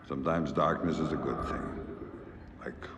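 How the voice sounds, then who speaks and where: A gruff American secret agent and tender father in a video game. His voice is extremely deep and gravelly, with a quiet, measured delivery. It sounds roomy, with heavy noise behind it. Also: steady tone. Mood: soothing.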